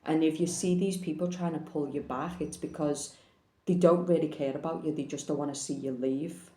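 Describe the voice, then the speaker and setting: Frank, plain-spoken female tarot reader, mellow tone, roomy recording. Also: low-pitched, steady tone, normal speed. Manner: blunt, frank, swears casually. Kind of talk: casual tarot reading to camera.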